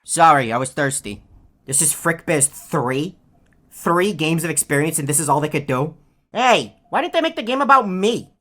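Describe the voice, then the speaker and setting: A low-pitched woman acting as a snarky game bird. The delivery is deadpan and sarcastic, full of mockery. This lazy, greedy heckler taunts like a bored cartoon sidekick. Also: moderate pace. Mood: deadpan mocking. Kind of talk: scripted video game character taunts.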